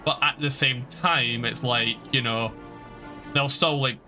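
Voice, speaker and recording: A man's voice: mid-range voice, steady tone, a little slow, sharp tone. A man giving casual, chatty live commentary as he streams a video game. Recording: music playing behind the voice.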